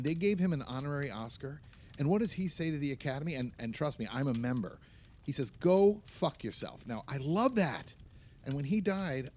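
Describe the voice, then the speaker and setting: A man's voice: medium pitch, darkish tone, a little hiss or hum, close to an everyday voice. An actor playing a fretful, self-doubting older male novelist in a naturalistic audio play, hesitant and prone to rambling complaint.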